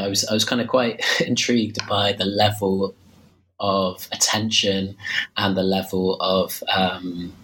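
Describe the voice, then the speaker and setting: Low, flat-voiced man with a cold. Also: slight background noise, rough recording. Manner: measured, many fillers and restarts. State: slight cold, losing his voice. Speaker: author interviewed about his book. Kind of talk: academic interview, thoughtful explanation.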